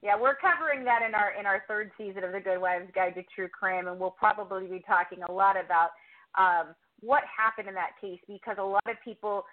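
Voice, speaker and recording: A woman's voice: mid-range voice, a little fast. A woman podcaster and producer talking casually as a guest in an interview. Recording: muffled, band-limited call audio.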